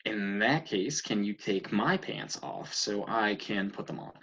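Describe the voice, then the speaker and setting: A man's voice: fairly low pitch, a little slow, a bit bright, breathy edge, muffled recording. A man playing a needy, lovestruck, sardonic young boyfriend in a script read-through. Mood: evasive, joking.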